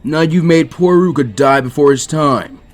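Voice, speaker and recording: A man voicing a stern older ninja mentor in a fan fiction reading, threatening and taunting in a deliberate way. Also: on the high side, faint music behind, pitched above the performer's own voice, close to an everyday voice. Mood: cold, taunting.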